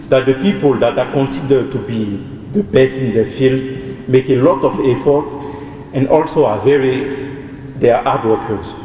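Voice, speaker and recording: A young man, giving an earnest, measured welcome speech as a student and pausing to restart some phrases. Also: mid-range voice, dark tone, slight background noise. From Ivory Coast. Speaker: student speaker. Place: large hall with audience. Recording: distant roomy hall, dull sound.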